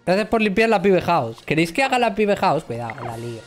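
A man's voice: high-pitched, sing-song, fairly quick, faint music behind. A man in his thirties chatting casually with his viewers while he plays, joking and mocking himself from his gaming chair at home. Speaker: livestream gamer. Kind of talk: casual banter with chat.

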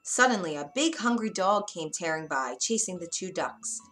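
A woman's voice: on the low side, animated, moderate pace, fairly bright tone. Woman storyteller over music. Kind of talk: scripted narration of a children's fairy tale. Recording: music behind the narration.

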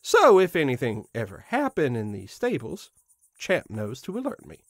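A man's voice: mid-range voice, huge pitch swings, normal speed, dry recording, clean studio sound. A man playing a proud, mischievous living scarecrow who guards a farm, musing in a theatrical, pompous way in an audio drama monologue. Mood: fond, reflective. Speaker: voice actor.

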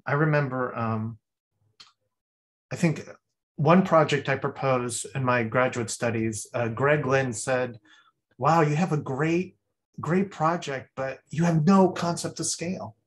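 A man's voice: mid-range voice, unhurried. A man giving rambling, thinking-aloud feedback as a guest critic at a design review over a video call. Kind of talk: spoken feedback on a student project.

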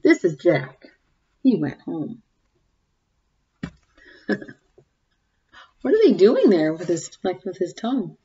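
A woman in her forties reading a picture book aloud to young children, expressively, pausing to ask them questions and comment. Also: lowish voice, sing-song, dark tone, husky, muffled recording. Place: small home room, indoors. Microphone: webcam or laptop mic at desk distance.

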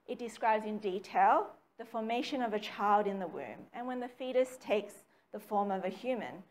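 Woman, young adult, reading from notes, measured pace, small room, far camera. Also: medium pitch, animated. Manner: measured, reads from notes with occasional ums. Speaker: speaker giving a dharma talk. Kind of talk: reading a prepared talk. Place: small meeting room with a slide screen. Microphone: far camera, no mic seen.

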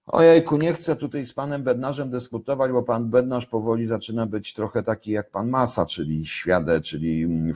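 Deep, husky older Polish man. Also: darkish tone. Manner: deliberate, halting, repeats phrases for emphasis. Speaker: commentator answering viewers' questions. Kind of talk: live unscripted political commentary. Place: indoors, home kitchen.

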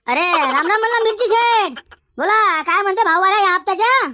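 A performer voicing a haggling, bantering cartoon chilli-market trader, squeaky and folksy, in comedic dialogue. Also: expressive, fairly quick, sharp tone, no room sound, exaggerated voice. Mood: needling banter. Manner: folksy, insistent bargaining. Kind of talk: comedic cartoon dialogue in colloquial rural Marathi.

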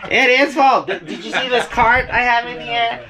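A woman's voice: a little high, moderate pace, a crowd in the background. A woman in her forties chatting casually to a handheld camera while driving and walking outdoors. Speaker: RV vlogger. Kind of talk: casual vlog chat. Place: outdoors and in a truck. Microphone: camera at arm's length. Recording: muffled with loud road noise.

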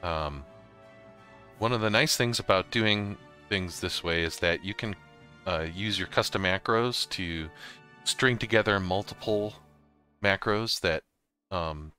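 A man with a low, forceful voice hosts an informal how-to for gamers. He talks through each step in a casual way, with a little music behind him. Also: unhurried. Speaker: host of a gaming tutorial series. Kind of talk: casual software tutorial narration over slides and screen capture.

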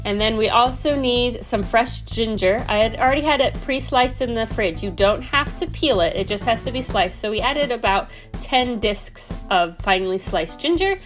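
A woman's voice: fairly high pitch, lively intonation, normal speed, soft background music. A woman in her thirties, a homesteading host, chatting through recipe steps in a home kitchen. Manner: chatty step-by-step explaining. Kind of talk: casual cooking voice-over.

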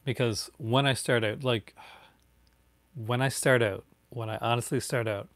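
A man's voice: mid-range voice, fairly even intonation, measured pace, a bit bright, no echo. Rambling man with a light Newfoundland accent. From Newfoundland, Canada. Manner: rambling, many fillers and restarts. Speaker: YouTuber answering viewer chat. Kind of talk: casual live-stream chat. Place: home room with bookshelves, indoors.

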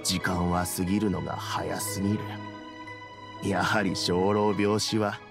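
Gravelly old mentor, low voice. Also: male voice, flat delivery, music in the background. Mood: wistful. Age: older. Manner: measured, old-fashioned elderly speech. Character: aging, reflective male elder statesman and mentor. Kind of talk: Japanese game voice acting.

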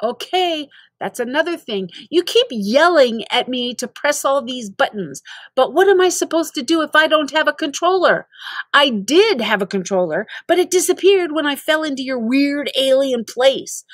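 Woman voicing a high-pitched, panicky young boy. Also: lively intonation, moderate pace, dry recording, clean studio sound. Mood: indignant protest. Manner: shrieking, pleading, protesting. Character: panicked, exasperated sixth-grade boy thrust into a video game.

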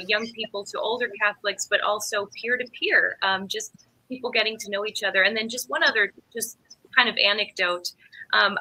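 Woman, bright clear voice, earnest, casual panel chat, webcam audio. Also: mid-range voice, poor audio. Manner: earnest, conversational, many fillers. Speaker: lay ministry panelist. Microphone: webcam on video call.

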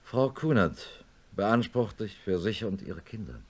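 A deep, low voice belongs to this middle-aged surgeon in a radio play. He is blunt and measured, an old friend laying out hard facts soberly and insistently. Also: very animated, husky, exaggerated voice. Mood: blunt, regretful. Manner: measured, matter-of-fact, insistent. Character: sober male neurosurgeon, blunt old friend, narrating his story. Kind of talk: German radio play acting and narration.

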